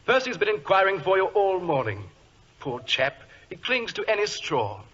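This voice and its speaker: An actor playing an affable, chatty, fussy British gentleman in a 1940s radio drama, effusive and a little flustered, with a husky voice. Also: high voice, very animated, normal speed, a little hiss or hum. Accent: British English. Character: affable, chatty, fussy English gentleman, future brother-in-law. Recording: dull old radio recording.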